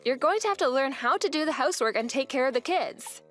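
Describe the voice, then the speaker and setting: A woman's voice: high voice, expressive, fast, a bit bright, soft background music. Earnest young woman narrating a manga dub. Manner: earnest, weary storytelling. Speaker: narrator and wife.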